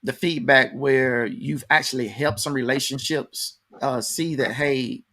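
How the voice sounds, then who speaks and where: A man's voice: mid-range voice, steady tone, measured pace, pushed and bright. A man hosting a conversational interview, informally addressing his audience like an announcer. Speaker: interview host and promoter. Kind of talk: conversational radio-style interview.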